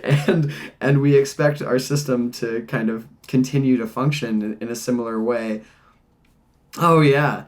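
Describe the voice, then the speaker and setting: A man's voice: medium pitch, steady tone, unhurried. A man hosting a podcast, chatting casually with lots of "like" and "um" and laughing easily. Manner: easygoing, many fillers like "like" and "um", laughs easily. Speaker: podcast host. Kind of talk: casual interview talk.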